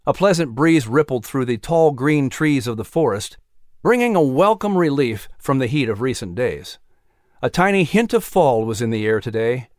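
A man narrating a children's audiobook in an expressive storytelling manner. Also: medium pitch, moderate pace, no room sound, clean studio sound.

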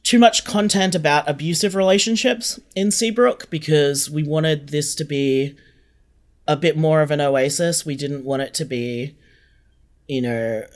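A man's voice: fairly high pitch, fairly even intonation, a little slow. A man explaining things earnestly in a reflective interview, repeating phrases for emphasis. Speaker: podcast guest, audio drama co-creator.

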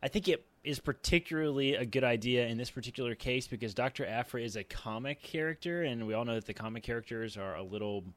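Male roundtable panelist, mid-pitched, hesitant and rambling, very dry close recording. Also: quick pace, few pauses, no room sound. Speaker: podcast panelist. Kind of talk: casual roundtable discussion.

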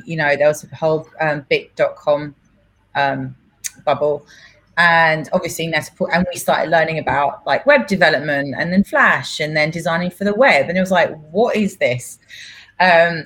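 A woman's voice: deep voice, fairly bright tone. Chatty woman with Greek roots. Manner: chatty, laughs often, many fillers. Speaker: guest. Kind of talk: casual interview chat.

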